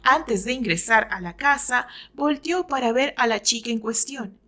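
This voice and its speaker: A woman's voice: a little high, moderate pace, bright, forceful tone. A woman with a Mexican accent narrating a romantic story aloud in a dramatised, scripted style.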